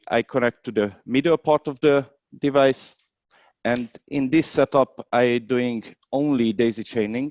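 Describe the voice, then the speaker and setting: A man who is a non-native English speaker demonstrates a product hands-on in a webinar, explaining step by step in a halting way. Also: mid-range voice, a little mellow, no echo. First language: not English. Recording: dull, dry webinar video sound.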